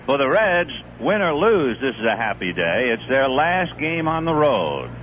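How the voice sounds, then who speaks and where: A man calling baseball play-by-play on a 1970s radio broadcast, in a steady, conversational manner. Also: measured pace. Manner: steady, conversational play-by-play with live pitch calls. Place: stadium broadcast booth. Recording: muffled 1970s AM radio broadcast with faint hiss.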